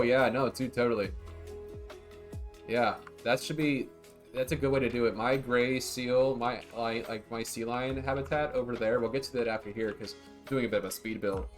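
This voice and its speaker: A man streaming a zoo-building game, chatting casually and rambling to his viewers as he plays. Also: mid-range voice. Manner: laid-back, rambling, talks to chat. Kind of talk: casual livestream chat.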